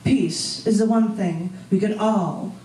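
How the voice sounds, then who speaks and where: A woman's voice: medium pitch, slow pace, warm tone. An American woman speaking as a poet and host at a live open mic, with a spoken-word delivery. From United States. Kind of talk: live spoken-word poetry reading with casual asides. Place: indoor bar gallery open mic. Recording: roomy, distant live recording with background noise.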